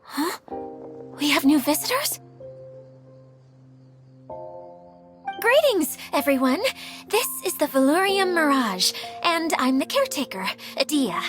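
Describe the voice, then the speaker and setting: A woman's voice: high voice, sing-song, normal speed, music in the background. A woman playing an eager, polite young caretaker of a magical realm in a game, formal and earnest. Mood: welcoming. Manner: formal, earnest, effusive, apologetic. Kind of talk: game cutscene character dialogue.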